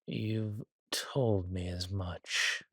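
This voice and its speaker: A husky, breathy villain king, acted by a man, drawling slowly in a dry, close recording. He is arrogant and sardonic, a theatrical sulker with a cruel streak, in the manner of a roleplay audio drama. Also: sits low, steady tone, a bit bright, no echo, full character voice. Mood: reluctant, coaxing. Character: arrogant, petulant villain king, cruel yet doting on his consort.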